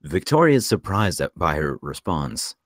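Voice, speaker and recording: A man's voice: sits low, very animated, normal speed, dry recording, studio quality. A man reading screenplay stage directions as scripted narration for a table read.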